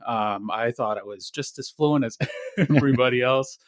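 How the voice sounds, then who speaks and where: A young man from Egypt, a guest on a podcast, speaking in a soft and friendly way with many ums. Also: mid-range voice, normal speed, no echo, studio quality. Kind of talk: casual interview.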